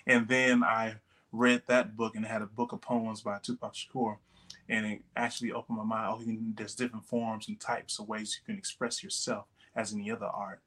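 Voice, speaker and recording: A young man from Texas in his late twenties speaks as a podcast guest. His voice sits low and moves little in pitch. He talks in a loose, rambling way, full of little fillers. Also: flat delivery, brisk, a bit bright. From Texas, USA. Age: 20s. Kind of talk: casual interview talk.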